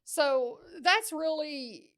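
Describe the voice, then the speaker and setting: Woman, fairly high voice, blunt, wry, casual true-crime podcast chat. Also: very slow, a bit bright. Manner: wry, blunt, hedges with "allegedly". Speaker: podcast co-host presenting case research.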